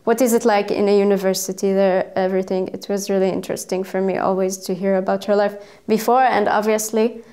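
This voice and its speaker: A young woman in her twenties from Israel, speaking English, answering interview questions hesitantly and carefully, with many pauses. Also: mid-range voice, fairly even intonation, dark tone. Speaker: student.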